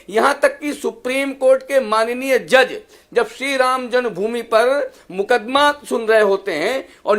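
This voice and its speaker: A man with an extremely high-pitched voice argues as a panelist in a political debate. He is emphatic and accusatory. He builds his points through repeated phrases. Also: a bit bright. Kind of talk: polemical political debate speech.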